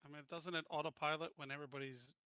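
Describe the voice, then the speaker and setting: A man's voice: a little high, fairly even intonation, fast, sharp tone, clear, resonant tone, no room sound, dull audio. A man chatting casually as a player in a tabletop roleplaying game. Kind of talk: casual chat.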